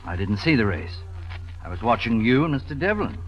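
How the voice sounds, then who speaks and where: An actor playing a jealous, suspicious suitor in a 1940s radio drama. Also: male voice, mid-range voice, very animated, a little fast, lightly acted. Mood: jealous suspicion. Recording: dull vintage radio recording.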